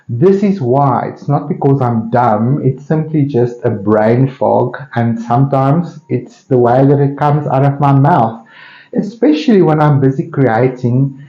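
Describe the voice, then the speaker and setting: A man with a South African Afrikaans accent, a native Afrikaans speaker, musing casually and thinking aloud with pauses as he hosts an open painting studio. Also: medium pitch. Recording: roomy, slightly dull sound.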